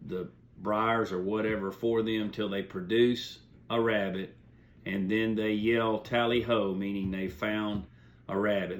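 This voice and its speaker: A man hosting a hobby video series, talking informally to viewers in a folksy, plain-spoken, rambling way. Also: lowish voice. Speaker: host of a beagle hunting video series. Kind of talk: informal explanatory monologue to viewers.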